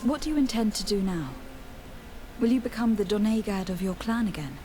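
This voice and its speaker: A woman's voice: medium pitch, expressive, a little fast, warm tone, slight background noise, close to an everyday voice. An actress playing a courteous, self-assured and compassionate noblewoman in a video game. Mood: polite, composed.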